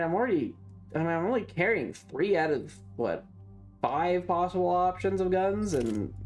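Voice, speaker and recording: A woman's voice: low voice, a little mellow. A woman giving casual gameplay commentary as a streamer, offhand and thinking aloud. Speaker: game streamer.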